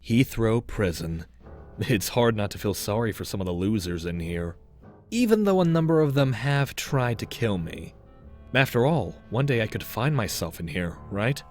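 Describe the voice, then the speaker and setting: A man's voice: on the low side, expressive, moderate pace, faint music behind, close to an everyday voice. A man playing a cocky, suave young raccoon master thief in a comic dub, with wry storytelling and a wistful streak. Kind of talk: comic dub voice acting with narration.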